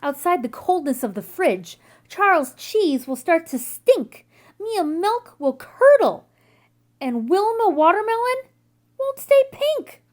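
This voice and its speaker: Very animated, high-voiced woman storyteller. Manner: animated, deliberate storytelling for young children. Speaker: children's story reader. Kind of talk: expressive children's picture book read-aloud.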